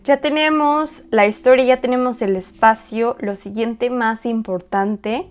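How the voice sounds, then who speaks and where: A woman dancer and choreographer calmly walking through how she built a dance video, speaking in a measured, reflective way with pauses. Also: a little high, soft background music. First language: Spanish. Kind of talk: explanatory walkthrough.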